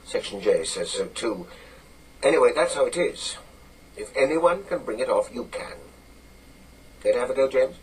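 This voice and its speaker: An actor playing an authoritative older British intelligence chief in a radio drama, gruff, clipped and matter-of-fact. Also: male voice, medium pitch, animated, normal speed, pauses often, far from the mic, a little hiss or hum, close to an everyday voice, rough recording. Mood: dry, commanding.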